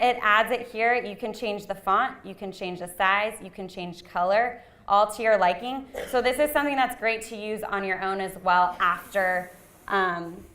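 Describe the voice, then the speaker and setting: Chatty woman presenter in an echoey room. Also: medium pitch. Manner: chatty, frequent ums, loud voice. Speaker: workshop presenter. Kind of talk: live training presentation. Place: meeting room with a live audience. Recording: roomy echoey hall sound.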